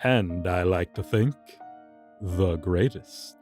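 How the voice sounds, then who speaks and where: A man voicing a friendly but creepy young occult shopkeeper in an audio roleplay, talking in a casual, easygoing way about dark rituals. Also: low-pitched, slow, soft background music. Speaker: voice actor.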